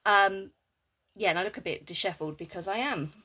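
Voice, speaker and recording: A woman with a hoarse, nearly lost voice from a sore throat, chatting casually in a meandering vlog and reading moon guidebooks aloud. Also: medium pitch, brisk, pushed and bright, slight background noise. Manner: chatty, meandering, with frequent fillers and asides. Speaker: Reiki practitioner and moon vlogger.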